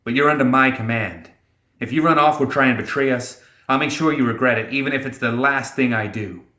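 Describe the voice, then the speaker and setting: A man's voice: medium pitch, fairly quick, pushed and bright, echoey room, pitched above the performer's own voice, close to an everyday voice. A man voicing a proud, scornful warrior woman with haughty, threatening disdain in a fantasy audiobook. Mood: grudging, threatening.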